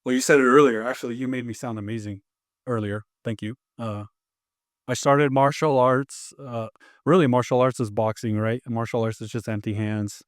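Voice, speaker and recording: A man's voice: medium pitch, steady tone, normal speed, no echo, studio quality. A man in his fifties, born in Tonga and raised in Los Angeles, telling stories as a martial arts instructor in a casual interview, rambling with many restarts and fillers.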